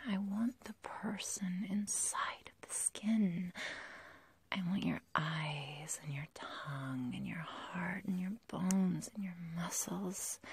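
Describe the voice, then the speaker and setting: A woman's voice: fairly low pitch, warm tone, full character voice. A woman playing an obsessive, love-crazed young kidnapper in an ASMR roleplay, whispering close to the mic in a rambling, hesitant, sweetly menacing way. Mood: cooing, menacing. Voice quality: breathy, whispery. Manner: rambling, hesitant, cooing, giggly. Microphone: close ASMR microphone, dry.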